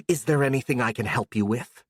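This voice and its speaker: A storyteller voicing a hot-tempered young woman who shouts and sneers with sarcasm. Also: a bass voice, brisk, dry recording, studio quality. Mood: sarcastic.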